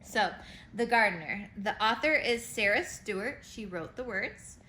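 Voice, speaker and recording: A woman teacher reading a picture book aloud to children, pausing for simple explanations and questions. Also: mid-range voice, expressive, bright, forceful tone. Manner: simple explanations and questions addressed to young listeners. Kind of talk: read-aloud storytime with explanatory asides. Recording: roomy echo, faint background noise.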